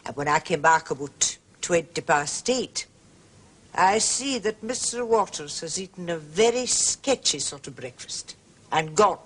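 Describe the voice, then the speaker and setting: An actress playing a prim, gossipy and indignant landlady in a period mystery drama, husky and emphatic, forever justifying herself. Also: lowish voice, lively intonation, unhurried. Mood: confiding. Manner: emphatic, self-justifying, repetitive.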